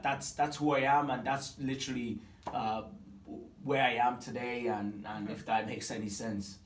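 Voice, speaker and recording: A man's voice: mid-range voice, slight background noise. Tanzanian man in his twenties, echoey. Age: 20s. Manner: animated storytelling with many fillers and restarts. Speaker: business owner interviewed. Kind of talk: casual interview. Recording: echoey room, mic at a distance, faint ambience.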